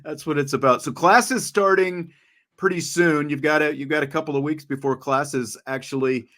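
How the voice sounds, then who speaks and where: A man's voice: on the high side, fairly even intonation, normal speed, a bit bright. A man, a radio announcer, conducting a friendly conversational interview and often restarting his questions.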